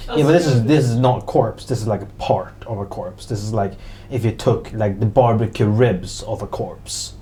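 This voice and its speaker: A man's voice: on the low side, normal speed, a crowd in the background. A man improvising narration as the game master of a tabletop role-playing game.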